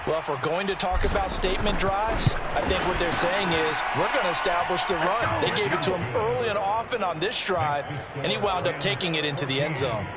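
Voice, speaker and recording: A man's voice: sits high, brisk, very noisy, natural voice. A man voicing an enthusiastic TV football analyst in a video game, explaining emphatically in a conversational way. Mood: enthusiastic, explanatory.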